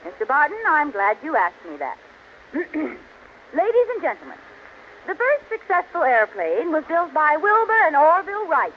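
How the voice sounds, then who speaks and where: A woman's voice: high voice, wildly swooping pitch, normal speed. A woman playing a scatterbrained, stubborn housewife in a 1940s radio sitcom, with theatrical comic delivery. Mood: confident, earnest. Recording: noisy, muffled old radio recording.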